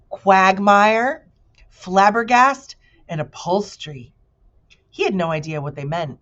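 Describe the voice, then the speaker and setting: Woman teacher doing an expressive read-aloud of children's fiction, lowish register, lo-fi recording. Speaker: teacher reading aloud to pupils. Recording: dull lo-fi recording.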